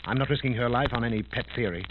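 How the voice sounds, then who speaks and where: A man's voice: medium pitch, quick pace, close to an everyday voice. An actor playing a commanding, determined male investigator with clipped, authoritative delivery in a 1930s radio drama. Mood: firm, purposeful. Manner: clipped, authoritative, giving orders. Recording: noisy, clicking, tinny 1930s radio recording.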